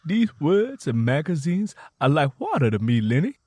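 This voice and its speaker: An eager young Southern woman played by a male narrator in an audio story. Her pitch sits high and swings widely as she speaks in an earnest, folksy drawl, recorded close and dry. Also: moderate pace, no echo. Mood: eager, encouraging. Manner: excited, earnest, folksy dialect.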